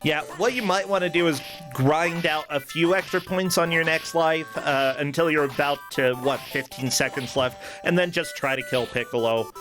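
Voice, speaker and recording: Male esports commentator, slightly high voice, enthusiastic and chatty, with clear music underneath. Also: normal speed, hardly any pauses. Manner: enthusiastic, conversational. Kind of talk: live gaming tournament commentary. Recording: game music behind the voice.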